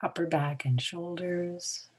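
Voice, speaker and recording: A woman leading a guided relaxation in a soothing, hushed manner, leaving long pauses between short phrases, with a breathy tone that trails toward a whisper. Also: lowish voice, fairly even intonation. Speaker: meditation guide.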